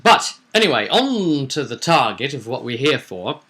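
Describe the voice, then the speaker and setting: Bright-voiced man recapping a tabletop game. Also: medium pitch, very animated, normal speed. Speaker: game master and co-host recapping a session.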